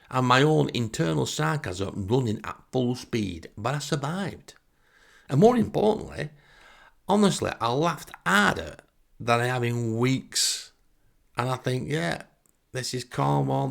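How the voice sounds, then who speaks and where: Wry man, slightly gravelly. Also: medium pitch. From northern England. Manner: sardonic, self-deprecating. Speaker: storyteller. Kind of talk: wry first-person anecdote, casual narration.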